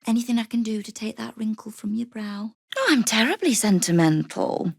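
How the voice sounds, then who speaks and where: A woman's voice: a little high, lively intonation, moderate pace, creaky, husky, no echo, studio quality, exaggerated voice. An actress playing a tough, sardonic woman who runs a crime guild in a video game, speaking in a wry, commanding, menacing way. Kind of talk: dramatic video game character dialogue.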